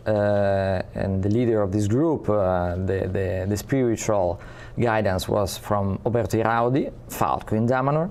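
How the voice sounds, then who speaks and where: A man from Italy with an Italian accent, a guest in an interview, speaking earnestly and often pausing to find his words. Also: lowish voice, dark tone. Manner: earnest, searching for words with many pauses. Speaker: guest, spiritual community ambassador.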